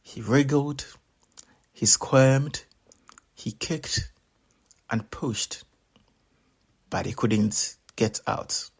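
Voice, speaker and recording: A man reading a children's story aloud as a storyteller, with expressive, deliberate and dramatic delivery. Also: medium pitch, breathy edge.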